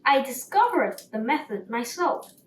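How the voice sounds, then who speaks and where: A pompous little sparrow played by a woman reader lectures like a proud inventor in a bedtime story. The voice is fairly high and very slow, heard in a roomy space. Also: big pitch swings. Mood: explaining, self-assured. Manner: pompous, explanatory. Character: self-assured male sparrow, a know-it-all inventor. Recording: roomy, distant mic, faint noise.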